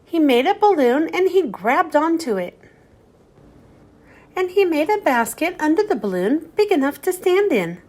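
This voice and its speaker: A woman reading a children's picture book aloud in a slow, gentle storytelling manner. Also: high-pitched. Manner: slow, gentle storytelling, lively rise and fall.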